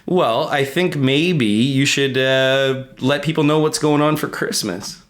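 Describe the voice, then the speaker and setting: A man's voice: mid-range voice, unhurried. A man co-hosting a podcast in casual, sweary conversation. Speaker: podcast co-host. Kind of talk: casual chat.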